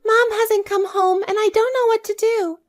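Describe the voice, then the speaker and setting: Woman voicing a high, plaintive little girl. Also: steady tone, normal speed, soft background music. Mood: worried, helpless. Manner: plaintive, pleading. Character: frightened young granddaughter, a child in distress. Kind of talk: acted family story dialogue.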